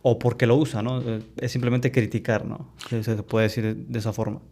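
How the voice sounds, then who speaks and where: A man's voice: mid-range voice, level tone, a little fast, mellow. A man co-hosting a casual chat, hesitant and often stumbling over his words.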